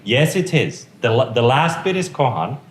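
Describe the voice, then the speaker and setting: A man's voice: mid-range voice, sing-song, normal speed. A man whose first language is Finnish, giving a technical tutorial at a conference in an informal, joking way. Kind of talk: technical lecture. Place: conference room. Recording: echoey room with faint noise.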